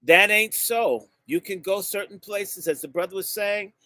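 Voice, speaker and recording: A man from the United States speaks to a live gathering in a high, bright, cutting voice, close to the mic and dry. He is fiery and blunt, drawing out key words for weight. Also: moderate pace, no echo. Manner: blunt and insulting, jumps between topics. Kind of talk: impassioned speech to a live audience.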